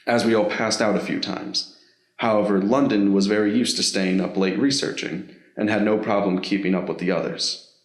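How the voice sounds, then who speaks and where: A man's voice: lowish voice, steady tone, moderate pace, echoey room, rough recording. A man reading scripted story narration for an audio drama in the role of narrator.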